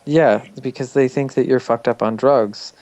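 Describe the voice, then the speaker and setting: A man with a nasal voice and a gravelly, fry-laden edge, at a mid pitch. He talks openly and reflectively as an interview guest, with frequent ums and restarts. The sound is close and dry, with little room. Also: fairly even intonation, moderate pace, darkish tone, dry recording. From Canada. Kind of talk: casual interview chat.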